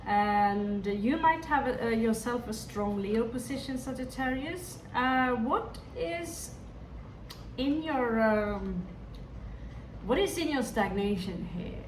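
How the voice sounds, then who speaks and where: Woman tarot reader with a Hindi-tinged accent, unhurried and musing, distant mic in a reverberant room with noise behind. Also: fairly high pitch, expressive. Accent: Hindi-influenced. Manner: musing, hesitant, talks to the viewer directly. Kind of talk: tarot reading to viewers.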